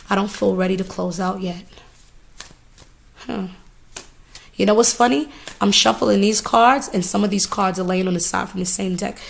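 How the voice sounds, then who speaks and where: A hoarse woman giving a live oracle card reading, talking casually with swearing and repeated phrases. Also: mid-range voice. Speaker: spiritual reader.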